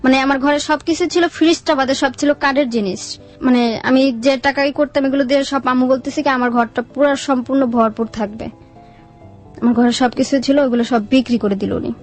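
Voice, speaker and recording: A woman's voice: high voice, steady tone, fairly quick, soft background music. A young adult woman with a Bangladeshi Bengali accent telling her life story in a colloquial, plain-spoken way as an interview guest.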